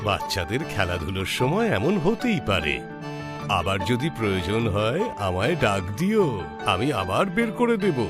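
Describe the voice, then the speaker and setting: A man's voice: on the low side, huge pitch swings, moderate pace, loud music behind the voice. A man voicing a kindly, easygoing uncle crab in a children's cartoon, speaking in a reassuring, obliging manner.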